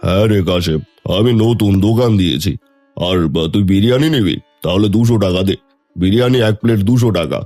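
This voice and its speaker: Man as a brusque, fast-talking stingy shopkeeper. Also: on the low side, warm tone, lightly acted. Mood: businesslike. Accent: Bengali. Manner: brusque, dismissive, mocking. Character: stingy, scornful village biryani shopkeeper. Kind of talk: Bengali fairy tale cartoon dubbing. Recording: music under the voice.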